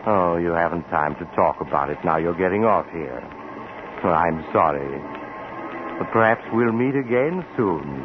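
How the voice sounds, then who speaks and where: A deep, sinister mysterious storyteller. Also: male voice, lively intonation, a little slow, over music. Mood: slyly eerie. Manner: insinuating, conversational. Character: sinister, genial male mysterious traveler telling eerie tales. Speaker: story host. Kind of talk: 1940s radio acting. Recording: noisy, tinny 1940s radio recording.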